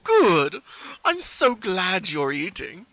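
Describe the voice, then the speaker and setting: A man's voice: high voice, huge pitch swings, slow, pushed and bright, dry recording, voice pushed up, full character voice. A man voicing a cruel young male pony villain in a story reading, gloating with sickly-sweet menace. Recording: dull, lo-fi home recording.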